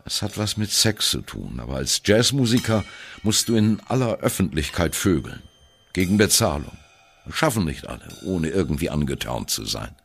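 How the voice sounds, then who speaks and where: An ageing, sardonic jazz drummer in a radio play, telling his own story. His voice is very low and husky, and it swings widely in melody as he recounts his doubts with dry wit. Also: very animated, moderate pace, exaggerated voice. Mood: confessional. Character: wry, self-doubting ageing free jazz drummer reminiscing. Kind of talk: radio play monologue, first-person narration.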